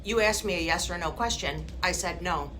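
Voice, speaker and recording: A woman's voice: on the low side, moderate pace, a bit bright. A woman on a phone call, speaking tersely in short questions and answers. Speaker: person receiving a scam phone call. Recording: phone call with faint background noise.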